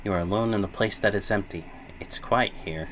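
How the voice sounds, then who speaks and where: A man's voice: fairly low pitch, fairly quick, fairly bright tone, slight background noise, low-quality recording. A man livestreaming a game playthrough, reading choices and narration aloud. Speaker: game streamer.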